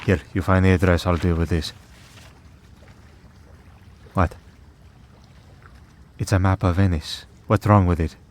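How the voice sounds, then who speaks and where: Man acting a deep-voiced, wry vampire priest. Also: fairly even intonation, a little slow, pauses often, a little mellow. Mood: wry, exasperated. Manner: sardonic, bantering, conversational. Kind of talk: ASMR roleplay acting.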